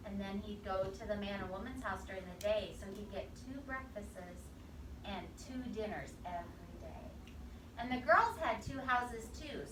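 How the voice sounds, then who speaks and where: A woman teacher going through a picture book with young children, asking questions and repeating answers. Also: medium pitch. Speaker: preschool or kindergarten teacher. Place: indoor classroom. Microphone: camera a few metres away, voice through face mask. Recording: echoey classroom, distant mic, clear background noise.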